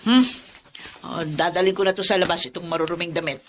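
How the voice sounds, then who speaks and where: A woman's voice: fairly low pitch, steady tone, fairly quick, slight background noise, natural voice. A Filipino woman playing a resentful, sarcastic older aunt in a radio drama. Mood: cold, sarcastic. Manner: sarcastic, indignant. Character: resentful, jealous older aunt who feels unappreciated.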